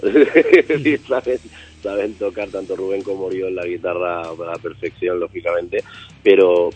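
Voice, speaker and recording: A male singer answering interview questions in a relaxed, rambling way, thinking aloud with fillers. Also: sits low, husky. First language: Spanish. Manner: thoughtful, rambling with fillers and restarts. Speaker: singer of a band, interview guest.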